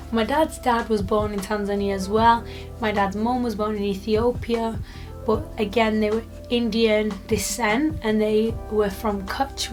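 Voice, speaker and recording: A British woman in her twenties from the UK, chatting casually to camera in a rambling vlog with lots of fillers. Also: a little high. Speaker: YouTuber.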